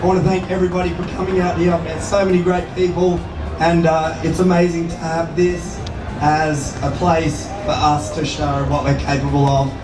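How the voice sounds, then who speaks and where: A man who has lost his voice, speaking hoarsely and haltingly in a grateful, informal thank-you speech at an outdoor show. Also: fairly high pitch, little pitch movement. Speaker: award-winning bike builder. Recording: echoey, distant, music in background.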